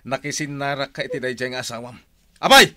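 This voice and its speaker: An actor playing a jealous, menacing husband in a radio drama. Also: male voice, medium pitch, a little fast, sharp tone. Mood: jealous, accusing.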